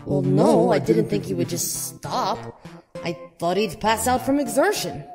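Lady voicing a slow gravelly mystery boatkeeper, awkward deadpan, lots of reverb. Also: mid-range voice. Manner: hesitant, deadpan. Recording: long reverb, loud music behind.

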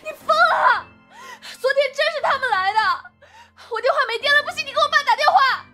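A woman acts out a very high-pitched young woman in an audio drama. She pleads shrilly and quickly, indignant and close to tears, with music playing underneath. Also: bright, forceful tone. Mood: frantic, tearful.

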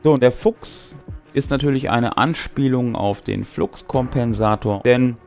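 A man's voice: mid-range voice, measured pace, gravelly, a little mellow. A man in his forties talking casually to camera as host of a tabletop gaming show, in a laid-back, wry, conversational way. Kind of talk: casual talk to camera about a tabletop role-playing game. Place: small brick-walled game room indoors. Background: background music.

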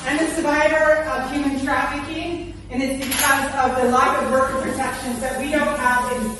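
A woman's voice: high-pitched, level tone, normal speed. Urgent woman in very echoey room. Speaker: activist. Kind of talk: impassioned personal appeal. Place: hard-walled public restroom. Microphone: phone from a distance. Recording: very echoey, distant, noisy phone clip.

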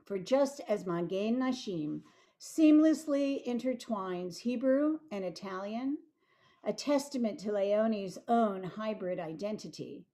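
Older woman narrator, mellow voice, unhurried, scripted scholarly commentary, theatrical lecturing. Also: medium pitch. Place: living room at home, indoors. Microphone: video call microphone.

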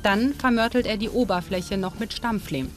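Female documentary narrator, measured and even, mid-pitched voice, voice-over laid over clear music. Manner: calm, measured storytelling. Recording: studio voice-over with music behind.

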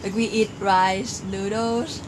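A woman's voice: medium pitch, fairly even intonation, slow. A teenage girl from Thailand with a Thai accent, speaking simple English in a giggly casual chat outdoors. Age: teens. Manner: giggly, simple phrasing, cheerful cheers. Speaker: exchange student vlogger. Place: outdoor porch by woods. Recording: outdoor ambience noise.

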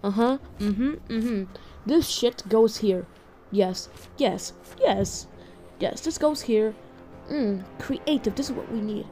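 A woman's voice: on the high side, slow pace, mellow. A woman voicing a frustrated, obsessive cartoon stick-figure inventor in an improvised comedic fan dub, muttering and swearing. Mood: muttering, absorbed. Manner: muttering, profane. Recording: music playing behind the voice. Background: background music.

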